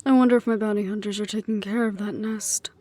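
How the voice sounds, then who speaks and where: Audio roleplay lead: actress as a dutiful middle-aged woman in charge, medium pitch, composed and resolute. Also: fairly even intonation, lightly acted. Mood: reflective, resolute. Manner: measured, reflective, quietly firm.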